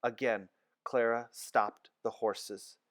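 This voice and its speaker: A man reading a children's chapter book aloud with expressive storytelling. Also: medium pitch, slow, no room sound, clean studio sound. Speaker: narrator.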